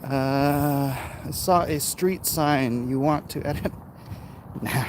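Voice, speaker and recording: A man's voice: on the high side, slow pace. An American man in casual, rambling livestream chat, talking off the cuff and answering comments as he walks. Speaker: livestream host. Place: outdoors, walking along neighborhood streets. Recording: outdoor street noise with passing traffic. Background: urban outdoor ambience, vehicles.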